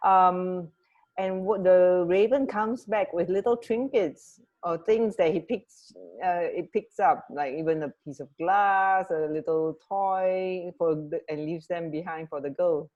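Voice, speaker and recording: A woman's voice: on the low side, unhurried, darkish tone, muffled recording. A woman co-hosting a radio show in casual, unscripted chat, feeling her way to words as she talks. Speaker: radio co-host.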